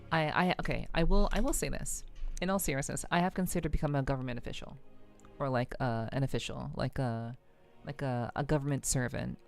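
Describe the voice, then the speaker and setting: Low-voiced woman streaming. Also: vocal fry. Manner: rambling, halting, repeats phrases. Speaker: VTuber streamer. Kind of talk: casual livestream chat with viewers. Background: faint music.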